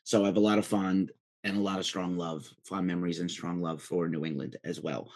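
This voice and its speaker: A fairly low-voiced male host runs a casual music interview over a call. He is chatty and keen, and he drifts into friendly tangents before he gets to his questions. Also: steady tone, a little fast, darkish tone. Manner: chatty and enthusiastic, with tangents and laughs.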